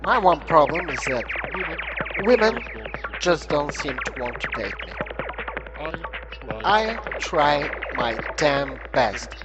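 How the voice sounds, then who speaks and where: An actor playing an awkward, earnest regular guy unlucky in love, reading a halting, self-pitying letter in a comic audio drama. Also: on the high side, big pitch swings. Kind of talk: scripted comic letter monologue. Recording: muffled lo-fi sound under loud synth music.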